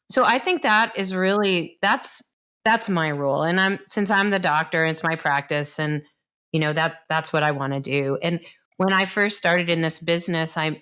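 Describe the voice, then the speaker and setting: Fifties woman doc, low voice, chatty and excited about the science stuff. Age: 50s. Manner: enthusiastic, explains science plainly, laughs often. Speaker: doctor, podcast host. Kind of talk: casual conversation.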